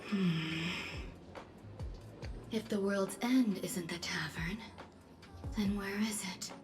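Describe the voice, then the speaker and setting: A woman acts a shrewd, composed female investigator in a game. She speaks slowly and deliberately in a mid-pitched voice, probing with a theatrical touch while music plays behind. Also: natural voice. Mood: probing, suspicious. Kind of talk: dramatic game voice acting.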